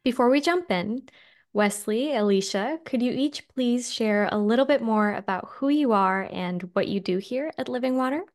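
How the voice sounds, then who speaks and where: A woman's voice: fairly high pitch, normal speed. A woman hosting a podcast, reading a polished, upbeat script. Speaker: podcast host.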